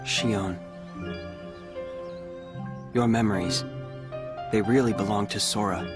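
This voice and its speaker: A man's voice: on the low side, fairly even intonation, slow pace. A man playing a guarded, brooding young loner in a video game, reserved and understated. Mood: somber, solemn. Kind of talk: video game cutscene acting. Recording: music behind.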